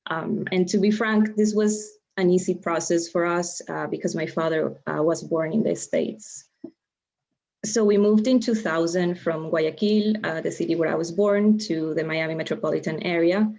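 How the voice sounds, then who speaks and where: A young woman from Ecuador giving an online lecture, measured and explanatory, with frequent ums and uhs. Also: lowish voice, vocal fry, darkish tone. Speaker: guest lecturer. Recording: dull lo-fi video-call audio.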